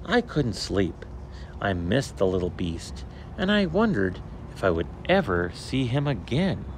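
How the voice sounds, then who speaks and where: A male storyteller reads a children's picture book with slow, deliberate phrasing. His pitch moves in lively, theatrical swings. Steady noise sits behind his voice. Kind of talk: expressive picture-book read-aloud for children.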